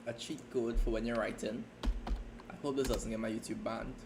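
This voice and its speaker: A man chatting casually on a writing livestream, rambling and self-deprecating, talking in halting starts. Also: mid-range voice, flat delivery, noisy background. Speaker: streamer and fan fiction writer. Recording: keyboard typing audible behind voice.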